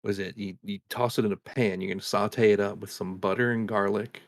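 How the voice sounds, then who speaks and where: A man chatting casually on a podcast, relaxed, pausing often with ums as he talks about food. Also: lowish voice, no room sound, studio quality. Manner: relaxed, hesitant, with many ums. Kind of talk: casual chat.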